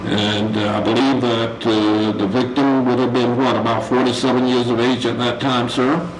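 A man's voice: mid-range voice, flat delivery, moderate pace. A man on a parole board asking questions at a hearing, formal and hesitant, full of fillers and frequent use of sir. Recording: echoey room with loud noise.

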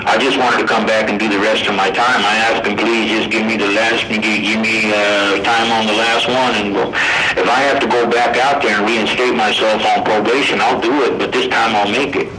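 A man in his sixties giving rambling testimony as a prisoner at a hearing, halting and repetitive, with informal folksy grammar. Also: fairly low pitch, flat delivery. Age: 60s. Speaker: prisoner at a parole board hearing. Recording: echoey room with loud background noise.

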